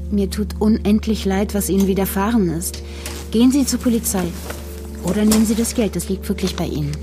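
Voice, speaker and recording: A woman playing a cool, calculating wife in a German podcast drama, speaking in measured, polite and articulate phrases with practised sincerity. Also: medium pitch, music in the background, natural voice. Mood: sympathetic, controlled. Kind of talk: German fiction podcast acting.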